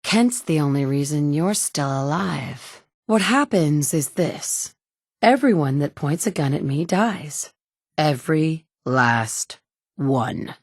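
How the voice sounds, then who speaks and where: A woman's voice: sits low, expressive, slow pace, a little mellow, no room sound, full character voice. A woman voicing the player character in a video game, a capable survivor who talks in a direct, firm way. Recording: dry studio recording.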